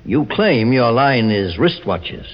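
Authoritative radio sleuth, shrewd, deliberate, expressive delivery, 1940s detective drama, dull old sound. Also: male voice, mid-range voice. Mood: triumphant. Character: commanding, shrewd male private detective, calmly accusing. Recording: muffled, reverberant old radio recording.

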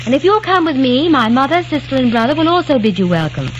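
A woman playing a polite, curious young gentlewoman from the eighteenth century in an old radio drama. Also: high-pitched, lively intonation, fast. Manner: polite, inquisitive, proper. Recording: dull old radio broadcast, noisy.

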